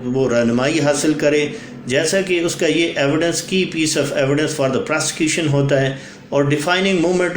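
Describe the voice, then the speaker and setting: A man with a Pakistani accent giving a formal instructional legal explainer, mixing English legal terms into his speech. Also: fairly high pitch. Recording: echoey room with faint background noise.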